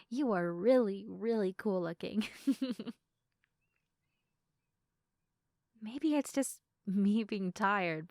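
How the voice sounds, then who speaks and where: A young woman playing a sleepy, affectionate girlfriend in an intimate sleep-aid roleplay, speaking softly and tenderly to the listener in a giggly, hesitant way. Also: mid-range voice, dry recording, studio quality. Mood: playful, adoring. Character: sleepy, affectionate girlfriend, gentle, playful and sincere. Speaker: audio roleplay performer.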